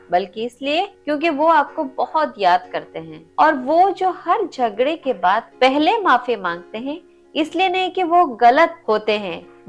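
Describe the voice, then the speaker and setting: A woman with a high voice narrates an inspirational story as its host and storyteller. Her delivery is scripted, earnest and instructive. Soft music plays faintly behind her. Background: faint background music.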